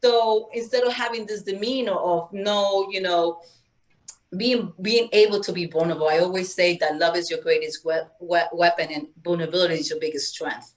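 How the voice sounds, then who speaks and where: A woman's voice: lowish voice, normal speed, low-quality recording. Earnest woman from Peru sharing stories. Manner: earnest, spiritual, animated, acts out quoted voices. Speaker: guest. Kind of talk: candid conversational storytelling.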